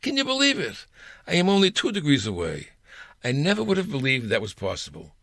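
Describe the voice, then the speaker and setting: A man reads a personal anecdote aloud as a storyteller. His pitch moves in wide, animated swings, and there is a marked gravelly creak in the voice. The recording is dry and close. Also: a little fast, dry recording.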